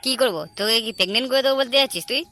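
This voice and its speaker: A man's voice: extremely high pitch, rapid-fire, heavy background noise. A man voicing a cocky, hot-headed young slacker in an amateur comedy cartoon, brash, crude and slangy. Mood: irritated.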